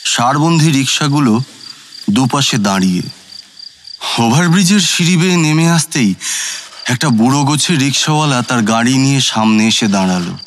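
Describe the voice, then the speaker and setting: A man's voice: medium pitch, animated, normal speed, a little hiss or hum, lightly acted. A male actor playing a former judicial officer who narrates his night journey as a scripted, literary first-person audio story. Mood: reflective.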